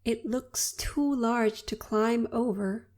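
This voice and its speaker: A woman narrating a guided meditation in a soothing, unhurried and deliberate manner. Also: on the high side, a little mellow.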